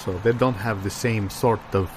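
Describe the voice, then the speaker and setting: A man playing a folksy small-town local who reminisces earnestly and haltingly in an improvised absurd comedy sketch. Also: fairly low pitch, fairly even intonation, background noise, natural voice. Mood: musing, halting.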